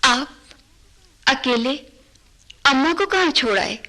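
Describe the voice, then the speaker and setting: A woman's voice: high voice, animated, slow, bright, forceful tone, faint music behind, exaggerated voice. A woman playing a clerk's wife in a radio drama, speaking in a pointed, questioning way. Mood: concerned, disapproving. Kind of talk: Hindi radio drama acting.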